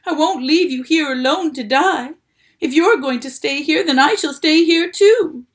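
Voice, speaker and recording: Woman reader doing a young male pig: high, loud, desperate, storybook. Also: a little slow. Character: frantic young male pig, emotional and pleading.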